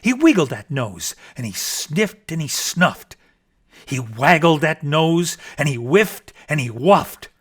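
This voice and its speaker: Slow, expressive male storybook narrator. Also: fairly high pitch, husky. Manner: expressive, deliberate rhyming delivery. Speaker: storybook reader. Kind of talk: theatrical scripted children's story narration.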